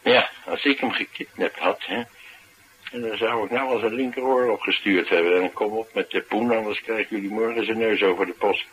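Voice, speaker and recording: Man playing streetwise bodyguard, very low bright forceful voice, cynical, coolly menacing, colloquial radio drama. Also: fairly quick, a little hiss or hum, natural voice, low-quality recording. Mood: matter-of-fact, cynical. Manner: colloquial, matter-of-fact tough talk. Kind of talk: naturalistic radio drama dialogue.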